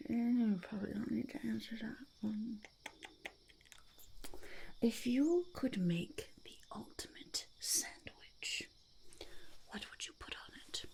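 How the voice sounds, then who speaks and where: A woman voicing an eccentric, self-important goblin in a whispered ASMR roleplay, breathy with creaky edges, fussy, hesitant and repetitive. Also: a little high, a little mellow. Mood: inquisitive, fussy. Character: eccentric, self-important goblin overlord interviewing a would-be sidekick.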